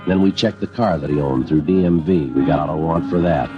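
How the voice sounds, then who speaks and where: Deep-voiced deadpan police detective. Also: male voice, quick pace. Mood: matter-of-fact. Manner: deadpan, terse, official. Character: calm, matter-of-fact male police detective narrating his case. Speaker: detective and narrator. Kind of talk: 1950s radio crime drama acting, clipped narration. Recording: dull old radio recording, music underneath.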